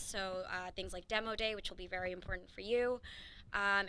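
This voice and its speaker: Bright woman, hesitant intro. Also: medium pitch, a little hiss or hum. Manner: hesitant, many fillers. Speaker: marketing and admissions intern. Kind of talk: casual staff introduction.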